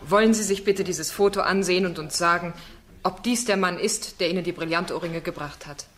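An actress playing a determined, composed defence lawyer in a German radio crime drama. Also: female voice, on the low side, a little fast, natural voice.

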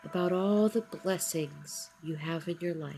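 A woman with a slightly low voice leads a guided meditation over music. She speaks very slowly and soothingly, leaving long gaps between short, encouraging phrases. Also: vocal fry, poor audio. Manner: encouraging affirmations. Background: background music.

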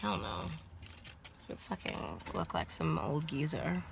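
Slow, gravelly young woman on a phone livestream. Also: low-pitched, very noisy. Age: young adult. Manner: relaxed, chatty, answers viewer comments, curses. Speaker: livestream host. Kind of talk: casual livestream chat. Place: inside a car. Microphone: phone at arm's length.

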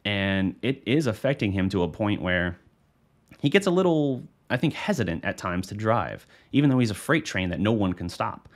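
A man's voice: fairly low pitch, sing-song, moderate pace. An American man in his thirties giving casual, unscripted postgame basketball analysis in a conversational, rambling way into a studio microphone. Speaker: sports show host and analyst. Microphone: studio microphone on a boom arm.

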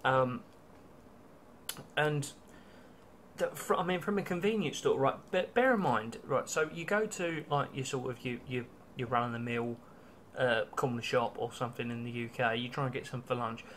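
A man's voice: medium pitch, moderate pace, slight background noise. A young British man in a casual food-tasting vlog, chatty and wry, with many ums and restarts, filmed in a small hotel room. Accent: British English. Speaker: travel vlogger.

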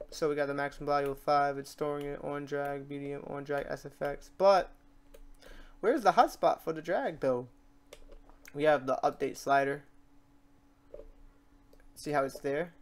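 A man hosting a casual software tutorial, informal and rambling, thinking aloud as he works. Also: on the high side, unhurried, long pauses. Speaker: tutorial host. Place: indoors at a computer.

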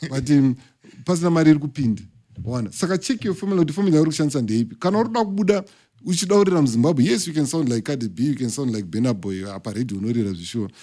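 Zimbabwean guy on a podcast, talks fast and kind of lectures, dark mellow tone. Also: mid-range voice. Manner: emphatic, lecturing, talks with his hands. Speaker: podcast guest, music industry figure. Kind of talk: podcast interview, mixing English and Shona. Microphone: studio mic on boom arm.

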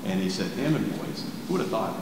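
A man's voice: lowish voice, lively intonation, brisk, creaky. A man in his sixties giving a keynote in a candid, plainspoken way, with frequent restarts, answering questions before a seated audience. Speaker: keynote speaker. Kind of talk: conversational keynote Q and A. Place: large hall with a seated audience. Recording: echoey hall with background noise.

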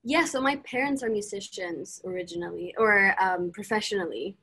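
A woman's voice: mid-range voice, normal speed, fairly bright tone, breathy edge. Young woman, chatty and upbeat, laptop mic on a video call, small room. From Sweden. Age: young adult. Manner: chatty and upbeat, fillers and restarts. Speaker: singer being interviewed. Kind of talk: casual video-call interview. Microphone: laptop mic on a video call, no earphones.

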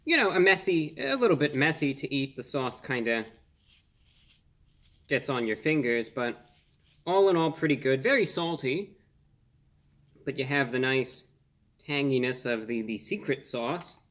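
A man in his twenties hosting a casual food review on camera, speaking in an earnest, measured way with slightly formal phrasing. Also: mid-range voice, expressive, a bit bright. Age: 20s. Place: small indoor room.

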